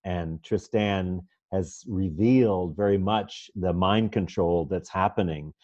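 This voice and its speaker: A man hosting an interview, speaking in an unhurried, attentive way. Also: low-pitched, darkish tone, no echo. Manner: attentive, unhurried, with brief affirming murmurs. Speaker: host. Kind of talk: conversational interview. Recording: dull, dry lo-fi audio.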